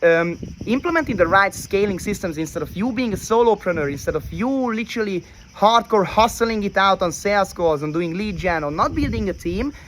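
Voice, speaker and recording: A man in his twenties raised in Hungary talks to camera from a rented villa, selling his coaching with brash, repetitive energy. His voice sits high, and he keeps checking in with "right?" Faint background noise hums under him. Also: expressive, a little fast, few pauses, a bit bright, poor audio. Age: 20s. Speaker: online business coach. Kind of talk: motivational direct-to-camera talk.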